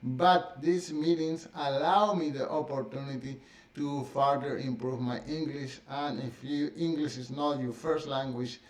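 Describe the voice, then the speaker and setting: A middle-aged man whose first language is Spanish talks to camera in a halting, casual way, full of fillers and restarts. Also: mid-range voice. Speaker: vlogger. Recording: echoey room.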